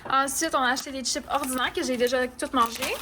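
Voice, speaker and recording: A high-pitched young woman with a Québécois accent chats to her camera in a playful travel vlog. Faint noise hums under her voice. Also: steady tone, fast talker, pushed and bright. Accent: Québécois French. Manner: chatty and playful, lots of fillers. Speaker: vlogger. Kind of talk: casual vlog narration. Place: hotel room and shops on a trip.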